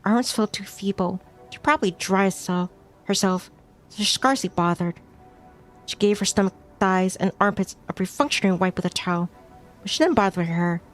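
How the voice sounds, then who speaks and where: A woman's voice: mid-range voice, fairly quick. A woman streamer reading a visual novel aloud as story narration, now and then stumbling over a word and rereading it. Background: music behind the voice.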